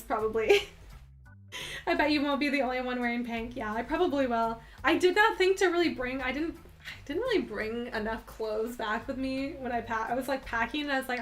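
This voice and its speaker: A young woman in her twenties with a lisp, chatting casually on a livestream and reacting to viewer comments, bubbly and full of "like". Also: a little high.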